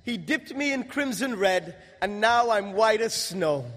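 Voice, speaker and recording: A young man from Guyana giving a religious testimony to an audience, in a measured, emphatic delivery with pauses. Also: high-pitched, animated, a bit bright. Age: young adult. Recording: long hall reverb.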